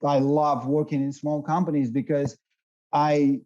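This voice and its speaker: A man, a guest answering questions haltingly while searching for words. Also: a little high, little pitch movement, mellow, highs cut off. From Russia. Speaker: guest, SEO director. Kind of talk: casual interview.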